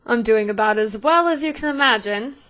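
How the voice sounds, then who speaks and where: A woman's voice: on the high side, brisk, a bit bright, natural voice. A woman voicing a tall half-elf male guard captain in a tabletop game, speaking with dry, clipped formality and wry remarks.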